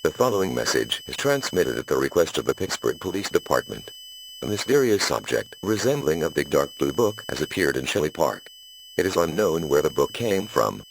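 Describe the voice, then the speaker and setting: A man's voice: extremely low pitch, a little hiss or hum, low-quality recording. A man reading a scripted emergency broadcast announcement in a formal, official manner.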